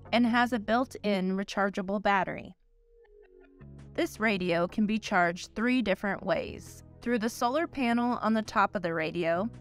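A woman narrates an instructional demonstration in a clear, steady voice. She explains each step methodically, in a scripted style. Faint music plays quietly behind her. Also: medium pitch.

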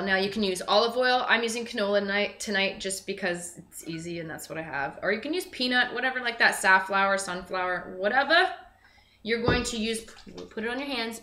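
A woman's voice: medium pitch, sharp tone. A young woman giving a casual, chatty cooking demonstration to camera, thinking aloud as she works. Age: young adult. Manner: chatty, informal, thinking aloud while working. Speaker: cooking show host. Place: indoor home kitchen. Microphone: camera mic a few feet away. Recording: roomy, echoey, noisy kitchen recording.

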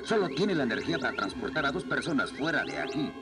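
Un actor con acento latinoamericano que interpreta a un soldado inventor maduro y serio en una caricatura doblada. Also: male voice, medium pitch, very animated, fast talker, close to an everyday voice. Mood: earnest, urgent. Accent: Latin American Spanish. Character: middle-aged male soldier-inventor, earnest. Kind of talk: dubbed 1980s cartoon acting. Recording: loud music behind dubbed cartoon dialogue.

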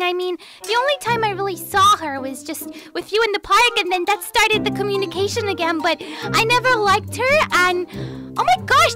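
A jumpy little baby girl angel, as a woman voices her, chatters in an extremely high, squeaky voice in a homemade audio story. Loud background music plays throughout. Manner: high squeaky voice, jittery, shouts when frightened. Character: excitable baby girl angel, easily scared.

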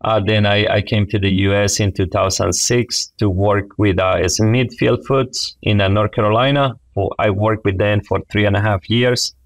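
Male podcast guest, Honduran Spanish accent, gravelly low register, explanatory academic delivery over a video call. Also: fairly even intonation, moderate pace, few pauses, no echo. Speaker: guest, poultry science professor. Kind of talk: explanatory interview talk. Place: small indoor office, video call. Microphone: wireless earbuds on a video call.

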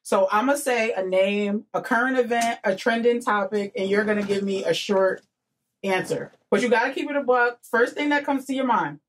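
A woman's voice: a little high, normal speed, slight background noise. A young woman hosting a casual podcast interview in slangy, informal speech on a studio microphone. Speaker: podcast host. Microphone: boom-arm studio microphone with headset.

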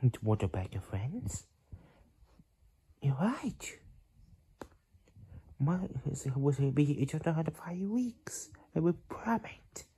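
An amateur performer acting out character lines in a halting, drawn-out way, with a breathy, husky voice that often drops toward a whisper. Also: medium pitch, sing-song, warm tone, slight background noise, rough recording. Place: small bedroom at home.

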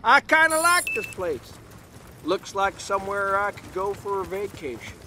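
An actor playing an easygoing, laid-back American soldier in a video game. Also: male voice, high-pitched, very animated, moderate pace. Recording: music and engine noise behind voice.

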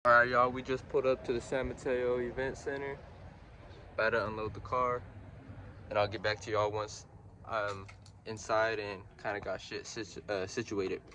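A man's voice: on the low side, little pitch movement, normal speed, heavy background noise. A young man, vlogging casually to viewers from his car and an expo hall, filmed on a handheld phone. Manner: laid-back slangy talk to viewers. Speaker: reptile vendor vlogger. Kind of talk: casual vlog narration. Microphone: handheld phone at arm's length.

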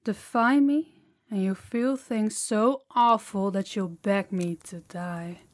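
A woman's voice: medium pitch, slow pace, dry recording, clean studio sound, natural voice. A woman voicing a harsh, dominant female demon in an intimate audio roleplay, with a haughty, commanding, taunting manner. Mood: seductive menace. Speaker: voice actor.

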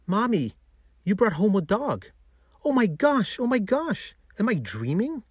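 A man voicing an affectionate, excitable little boy in a children's storybook, eager and sweet. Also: high-pitched, measured pace, husky, dry recording, studio quality, pitched above the performer's own voice, exaggerated voice. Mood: amazed.

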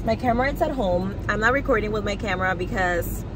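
A woman's voice: mid-range voice, fast talker. A young woman chatting casually while she drives. She rambles and swears bluntly, and "like" comes up in almost every line. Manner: rambling, heavy "like" fillers, blunt and profane. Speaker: vlogger. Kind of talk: casual vlog chat while driving. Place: in a car. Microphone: phone camera. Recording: loud car and road noise.

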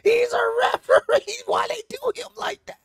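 A man in his thirties with a hoarse voice, hosting a casual reaction video from a home streaming room on a studio mic, chatting easily and breaking into loud laughs and shouts. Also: extremely high pitch, level tone, normal speed, no echo. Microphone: studio mic on arm, headphones.

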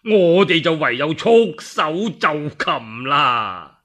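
A man performs a cornered warlord chancellor from a radio storybook tale, with a Cantonese accent. The voice is high and husky, swooping widely as he boasts or wheedles in long theatrical phrases. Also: very animated, a bit bright, full character voice. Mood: scornful, smug. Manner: theatrical, drawn-out pleading and boasting.

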